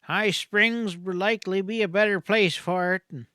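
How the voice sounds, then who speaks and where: Guy doing a folksy old west doctor, higher pitched, cynical but gallant, dry close sound. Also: fairly even intonation, normal speed, sharp tone, smooth, no echo. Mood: wry, exasperated. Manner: folksy, drawling, eloquent. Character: wry, cynical frontier doctor, male, talkative and gallant. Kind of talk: Western audiobook character voice.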